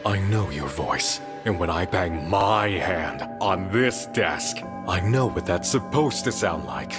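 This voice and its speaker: A man playing a troubled, defiant man trapped in a story, with intense, halting, demanding delivery in a dramatic visual novel style. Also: low-pitched, lively intonation, loud music behind the voice. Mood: angry, defiant.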